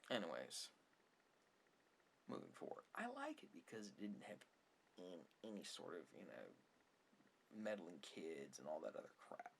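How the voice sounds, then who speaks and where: Creaky, soft-spoken ASMR rambler. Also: deep voice, wildly swooping pitch, measured pace, pauses often, a little hiss or hum. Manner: rambling, opinionated, mildly sarcastic. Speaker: ASMR video creator. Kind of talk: ASMR rambling film commentary.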